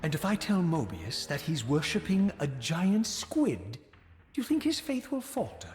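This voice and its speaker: An actor playing a proud, bitter, tormented wraith in a video game, with eloquent, formal, theatrical delivery. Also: male voice, fairly high pitch, wildly swooping pitch, normal speed, darkish tone, faint music behind. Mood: defiant, sardonic. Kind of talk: dramatic video game acting and narration.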